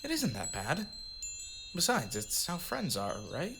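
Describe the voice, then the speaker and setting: Man acting mild, eager teenage boy, lively intonation. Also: fairly high pitch, a little slow, background noise, heavily acted. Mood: hesitant, placating. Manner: mild, hesitant, conciliatory. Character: soft, eager teenage boy making an amateur movie. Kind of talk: audio drama acting and narration.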